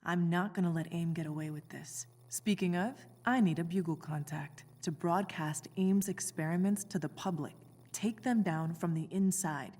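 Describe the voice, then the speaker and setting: Determined young woman, serious, steady, lowish pitch, long hall echo, video game. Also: normal speed. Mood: firm, reassuring. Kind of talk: naturalistic video game voice acting. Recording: long reverberant room echo.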